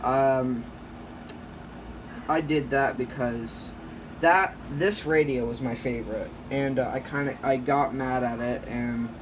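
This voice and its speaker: A man giving a casual, unscripted show-and-tell as a hobbyist vlogger, rambling and halting, often correcting himself as he goes. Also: mid-range voice, steady tone. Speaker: hobbyist vlogger showing a radio collection. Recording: clear background noise, home video.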